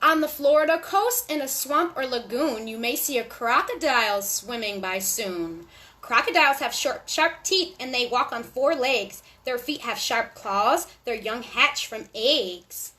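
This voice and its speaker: A woman with a high voice reads a rhyming children's book aloud. Her delivery is animated and sing-song, pitched directly at little listeners as a storyteller would. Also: unhurried.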